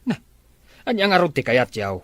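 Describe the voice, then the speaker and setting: Man playing exasperated Ilocano farmer dad, middle-aged, medium pitch with lively swings, dry close sound. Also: normal speed, dry recording. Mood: exasperated scolding. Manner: scolding, exasperated. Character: stern, weary farmer father scolding his grown children. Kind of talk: amateur family drama acting.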